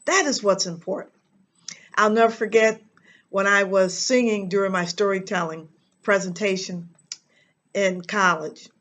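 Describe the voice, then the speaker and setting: An American woman giving an instructional talk as a reading teacher and host, speaking emphatically and deliberately with dramatic pauses. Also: medium pitch, fairly even intonation, fairly bright tone.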